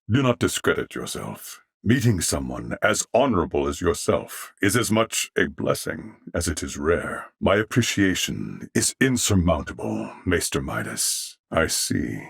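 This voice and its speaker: An earnest mechanical life form from a video game. The voice sits very low with a husky grain, captured close and dry. Speech is formal, measured and unfailingly polite. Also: male voice, fairly bright tone, dry recording, exaggerated voice. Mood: grateful, solemn. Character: courteous, earnest adult male mechanical alien life form. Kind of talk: video game character dialogue.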